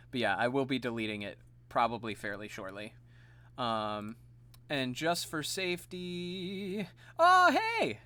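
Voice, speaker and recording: A man streaming live, chatting casually and laughing at himself, his voice slightly gravelly and tired. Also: mid-range voice, pushed and bright. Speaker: streamer and voice actor.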